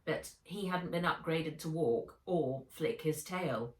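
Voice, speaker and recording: A woman reading her own children's novel aloud as narrator, in measured, expressive storytelling. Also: low voice. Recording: roomy echo, distant microphone.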